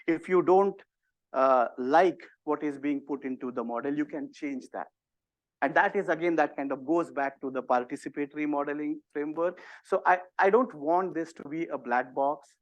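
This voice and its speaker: A man with an Indian accent lectures a workshop audience in a fairly high voice. His talk is conversational and full of restarts and fillers. The sound is dry and close. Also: moderate pace, no echo, low-quality recording. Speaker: workshop instructor.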